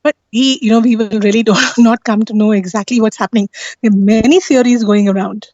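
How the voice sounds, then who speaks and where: High-voiced woman, dull lo-fi audio. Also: steady tone, fairly quick, a little mellow, no echo. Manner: insistent, often seeks agreement with tag questions. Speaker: guest on a live talk stream. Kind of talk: casual explanatory discussion.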